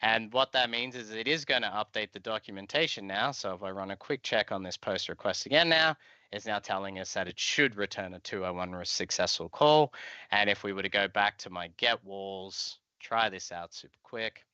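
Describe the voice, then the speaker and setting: Bright, forceful man teaching casually. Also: on the low side, moderate pace, smooth, no echo, lo-fi sound. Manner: informal, explanatory. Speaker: software tutorial instructor. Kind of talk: casual live coding walkthrough. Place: indoor room, video call.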